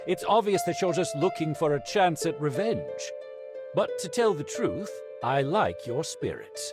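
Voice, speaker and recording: A man playing a gruff, confident guard captain in a video game, with an amused, taunting manner. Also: on the high side.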